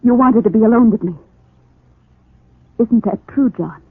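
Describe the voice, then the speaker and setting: A woman's voice: a little high, moderate pace, breathy edge. An actress playing a lovelorn, insistent woman in a 1940s radio soap opera, imploring and intense. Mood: urgent, confronting. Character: lovelorn, insistent woman pleading with the man she loves. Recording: tinny, echoey 1940s radio recording.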